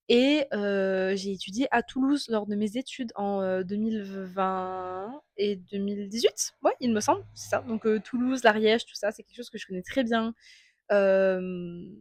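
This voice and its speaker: A woman in her twenties with an accent from France, talking candidly into a small clip-on mic and often pausing to hesitate. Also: medium pitch, a little mellow, no echo, clean studio sound. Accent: French from France. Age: 20s. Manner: reflective, candid, many hesitations. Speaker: vlogger. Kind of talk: casual personal monologue.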